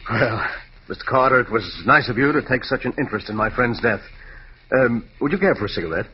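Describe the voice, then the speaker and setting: Radio mystery lawyer, played by a man: fretful yet courteous gentleman, middling pitch, dull old broadcast sound. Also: animated, a little fast, natural voice. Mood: polite, composed. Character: anxious, smooth-mannered gentleman lawyer. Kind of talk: 1940s radio acting. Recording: muffled, noisy old radio recording.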